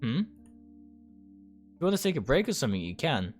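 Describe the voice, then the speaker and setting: A man voicing a kind, quiet young woman who works in a store, speaking gently and hesitantly in a visual novel reading. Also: medium pitch, very animated, fairly quick. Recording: music behind the voice.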